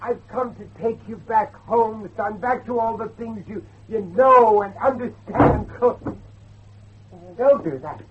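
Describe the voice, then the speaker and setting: An actor playing an anxious, devout middle-aged father searching for his son, pleading and halting in a horror audio drama. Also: sits high, noisy background. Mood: desperate pleading. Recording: dull, muffled lo-fi drama recording.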